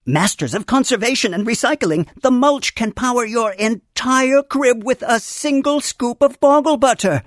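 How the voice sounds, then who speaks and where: A woman voicing a wise, earnest creature who tends a great tree in a children's computer game, with theatrical, formal storybook phrasing and gentle humor. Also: fairly high pitch, animated, normal speed, few pauses, no room sound, clean studio sound, natural voice. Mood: grand, welcoming. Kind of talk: scripted game character narration.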